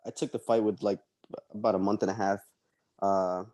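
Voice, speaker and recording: A young man from El Salvador, a fighter being interviewed, answering casually and haltingly with many ums and uhs. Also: on the low side, flat delivery, darkish tone, no echo. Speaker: MMA fighter guest.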